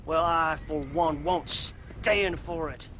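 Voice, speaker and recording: An actor playing a paranoid, bigoted old shopkeeper in a western game, drawling slowly and sneering. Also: male voice, fairly high pitch, a bit bright, slight background noise. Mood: contemptuous. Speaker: general store owner.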